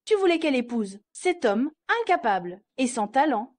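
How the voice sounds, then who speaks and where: A doting middle-aged mother with a high voice. She pleads protectively on her child's behalf. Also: very animated, normal speed, a little mellow. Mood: pleading.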